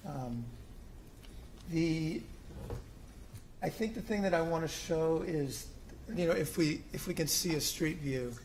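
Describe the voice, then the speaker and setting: Man, middle-aged, slightly high voice, halting with ums, meeting-room mic, faint hiss. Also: a little mellow, poor audio. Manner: hesitant, many ums and restarts. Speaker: homeowner applicant at a city commission. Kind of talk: informal presentation at a public meeting. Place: city hall meeting room.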